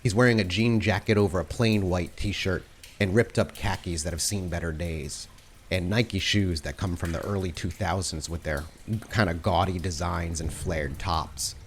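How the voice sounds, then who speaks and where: A man narrating a tabletop roleplaying game as game master, setting scenes in a measured, atmospheric way. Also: low-pitched, fairly even intonation, a little hiss or hum.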